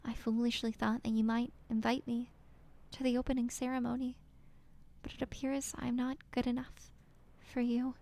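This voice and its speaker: A woman voicing a heartbroken young woman reading out her farewell letter in a halting, apologetic half-whisper. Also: fairly high pitch, flat delivery, darkish tone, pitched above the performer's own voice, close to an everyday voice.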